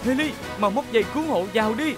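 A woman's voice: on the high side, animated, fast, over music, close to an everyday voice. A woman voicing an earnest, heroic young police-car robot who leads and reassures in a children's cartoon dub. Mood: urgent, reassuring. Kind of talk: Vietnamese-dubbed children's cartoon.

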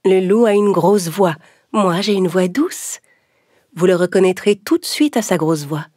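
A woman voicing a caring mother goat giving soft, careful warnings. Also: on the low side, animated, measured pace, no echo, clean studio sound.

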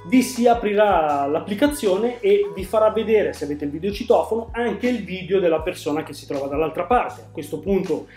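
A man's voice: high-pitched, animated, a little fast, few pauses, a little mellow. A man, presenting a product to camera in a casual, explanatory way. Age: 40s. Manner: explanatory, conversational. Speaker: tech channel host. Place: small room at home, indoors. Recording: echoey room, music underneath.

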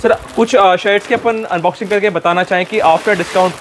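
A man's voice: high voice, fairly even intonation, normal speed, fairly bright tone, a little hiss or hum. A man hosting a promotional tour of a shoe warehouse, talking straight to viewers in an upbeat sales patter. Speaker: YouTube host.

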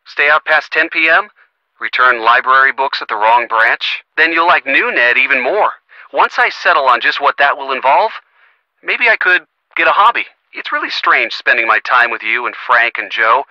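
An actor playing an earnest, gently sardonic young American boyfriend in a video game, talking conversationally and expressively with a youthful voice. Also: male voice, mid-range voice, animated, normal speed, no echo, highs cut off, close to an everyday voice. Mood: wry, self-deprecating. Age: young adult. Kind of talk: video game phone-call dialogue.

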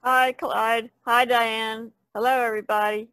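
A woman's voice: a little high, flat delivery, a little slow, fairly bright tone, no room sound. A middle-aged or older American woman introducing herself as a podcast co-host.

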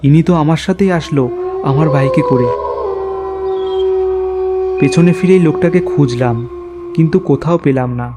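A man playing a courteous, uneasy journalist who narrates his own horror story in an animated tale. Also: a little high, normal speed, long pauses, very noisy, natural voice. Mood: uneasy suspense. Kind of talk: first-person horror story narration and dialogue. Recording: faint music behind the voice.

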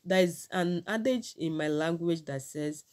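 Low-voiced Nigerian woman giving admonishing commentary. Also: unhurried, darkish tone. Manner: admonishing, proverb-quoting. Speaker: vlogger commentator. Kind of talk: opinionated commentary to viewers. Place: small indoor room with curtains.

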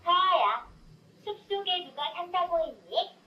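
A woman's synthetic voice tells a children's story and quizzes the listener in a playful, sing-song way. Her voice sits high and swoops widely, as if cheering a small child on. The sound is dull and lo-fi, heard from a distance. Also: expressive, takes it slowly, reverberant, faint music behind. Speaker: talking doll storyteller. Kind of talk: children's story narration with quiz questions.

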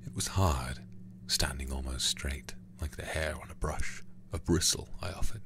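Very deep whispering man. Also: normal speed. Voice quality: breathy, whispery. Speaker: livestreamer playing a horror game. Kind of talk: ASMR game narration and reading aloud. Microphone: close ASMR microphone.

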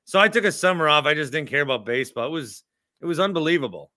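A man's voice: fairly high pitch, animated, fast, sharp tone, no echo, studio quality. An American man in his thirties hosting a sports podcast, chatting casually into a desk microphone at home. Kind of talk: casual chat. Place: small home room with framed pictures, video call. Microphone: desk microphone close in front.

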